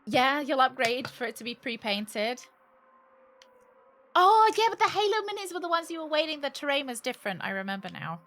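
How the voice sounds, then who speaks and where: A woman's voice: high-pitched, big pitch swings, a little fast, a bit bright. A British woman livestreaming a game, chatting casually and jokily with sarcastic asides and some swearing. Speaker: game streamer. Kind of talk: casual livestream chat while playing a game.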